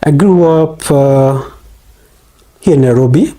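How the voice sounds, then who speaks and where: A man's voice: a little high, takes it slowly, mellow. A man with a Kenyan accent, a guest on an interview, who talks in a rambling, hesitant way full of fillers. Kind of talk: casual interview.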